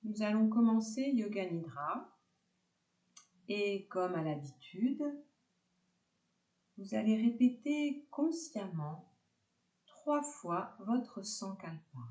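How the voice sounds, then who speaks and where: A woman teaching yoga nidra, guiding a relaxation meditation calmly in short, separated phrases. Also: mid-range voice, roomy sound.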